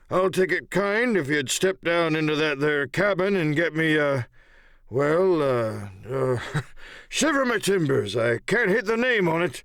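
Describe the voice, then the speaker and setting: A man voicing a sly, wounded old pirate coxswain in an audiobook, with wheedling, halting, talk. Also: a little high, a bit bright, no echo, studio quality, pitched above the performer's own voice. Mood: wheedling, hesitant.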